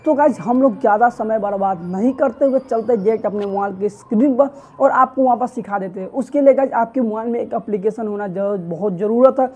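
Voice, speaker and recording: Young man, tutorial host, higher voice, earnest, gesturing, lapel mic at home. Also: fairly quick, hardly any pauses, dull audio. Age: young adult. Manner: earnest, talks with animated hand gestures. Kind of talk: step-by-step app tutorial.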